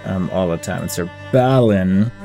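A man's voice: low voice, measured pace, creaky, warm tone. A man giving casual game commentary, musing and weighing his options aloud in a hesitant way. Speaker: gaming video creator. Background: game music.

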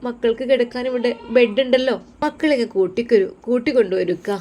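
A woman's voice: on the high side, brisk, dark tone, voice pushed up, lightly acted. A woman voicing a devoted young wife who speaks in a sweet, earnest and pleading way.

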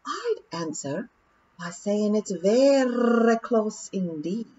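A woman voicing a pompous, long-winded male pixie, measured and drawn-out. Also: medium pitch, animated, dark tone, slight background noise, voice pushed up. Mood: ponderous. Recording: dull lo-fi audiobook recording.

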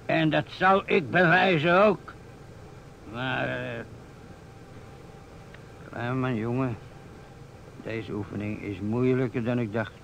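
A man's voice: mid-range voice, takes it slowly, gravelly, pauses often, fairly bright tone, lo-fi sound. A man acting a persistent, businesslike police inspector in a Dutch radio play, asking probing questions. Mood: dogged. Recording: old radio recording, faint noise.

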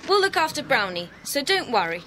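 A woman's voice: high voice, sing-song, brisk, sharp tone, exaggerated voice. A woman playing a cheerful, caring British schoolgirl, keen and polite, in a children's audio drama. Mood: helpful. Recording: noisy cassette tape.

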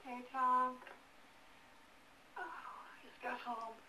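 A woman's voice: high-pitched, little pitch movement, very slow, pushed and bright, roomy sound, recorded from a distance, a little hiss or hum, rough recording. A middle-aged or older woman leaving a casual phone message, heard as a voicemail played back through a device speaker.

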